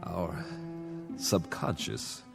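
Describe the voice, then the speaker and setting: A man playing a self-assured aviation investigator in a radio drama, speaking deliberately and theatrically with probing pauses and rhetorical questions. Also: low voice, vocal fry, heavily acted. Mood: wry, reflective. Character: self-assured male aviation investigator chasing an uncanny theory. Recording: music behind the voice.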